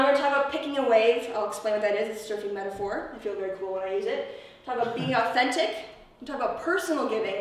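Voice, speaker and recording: Fast-talking woman presenter in an echoey room. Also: mid-range voice. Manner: checks in with listeners using tag questions. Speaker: presenter at a camp leadership workshop. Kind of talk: informal conference presentation. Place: indoor room, presenting to a live group. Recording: echoey room from far off, faint noise.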